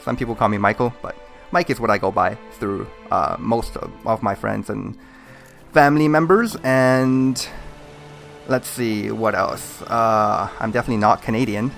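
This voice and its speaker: A man's voice: lowish voice, normal speed, vocal fry, a bit bright, faint music behind. A man born in mainland China, a native Mandarin speaker with a Chinese accent, chatting in a rambling, hesitant way with lots of fillers while commentating a game he's playing. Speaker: gaming channel host. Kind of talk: casual gameplay commentary.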